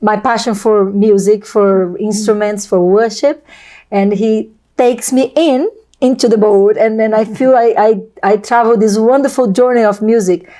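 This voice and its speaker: Mellow, effusive Brazilian woman host. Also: medium pitch, fairly even intonation, a little slow. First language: Portuguese. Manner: effusive, wordy, repeats phrases. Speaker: host of a video channel. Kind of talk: casual conversational interview.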